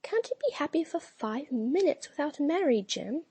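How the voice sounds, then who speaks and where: A man's voice: very high-pitched, sing-song, normal speed, mellow, no echo, voice pushed up. A man voicing a proud, fierce woman in her thirties with a teasing, mocking manner in a dramatic audiobook reading. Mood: coaxing, impatient.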